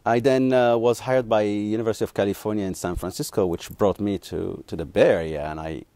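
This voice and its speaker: A man from Morocco, a neuroscience professor in his thirties, answering interview questions haltingly with many ums and restarts. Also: on the low side, fairly even intonation, warm tone, dry recording.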